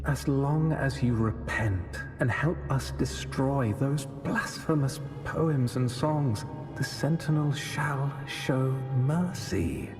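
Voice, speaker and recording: A man voicing a stern, zealous acolyte inquisitor in a video game, speaking solemnly and sanctimoniously. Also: mid-range voice. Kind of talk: scripted video game dialogue. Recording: long hall reverb, music behind.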